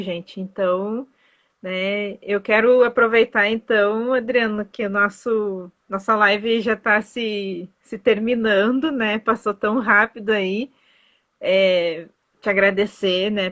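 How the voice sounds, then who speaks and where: A woman's voice: medium pitch, fairly even intonation, slow pace, a bit bright. Chatty southern brazilian woman host. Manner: chatty, many fillers like "né" and "aí". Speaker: tour guide and live host. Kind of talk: casual live-stream chat. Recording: dull lo-fi live-stream audio.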